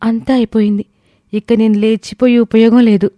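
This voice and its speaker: Woman as a mellow, earnest young wife. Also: on the high side, flat delivery, moderate pace, no echo, close to an everyday voice. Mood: worried. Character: composed young wife and daughter in a family tale. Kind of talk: acted story dialogue.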